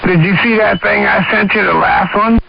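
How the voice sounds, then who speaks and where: A man's voice: sits high, fairly even intonation, normal speed, creaky. An American man chatting over CB radio in a folksy, informal way. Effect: CB radio transmission. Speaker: CB radio operator. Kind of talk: casual radio chat. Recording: hissy, muffled CB radio signal.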